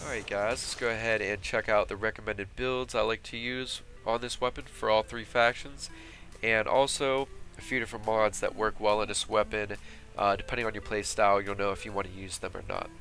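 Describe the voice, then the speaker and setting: A man with a stuffed-up cold, giving relaxed, chatty commentary over game footage for a weapon build video. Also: lowish voice, faint music behind. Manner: relaxed, chatty, with fillers and quick asides. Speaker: gaming YouTuber. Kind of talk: casual gameplay commentary.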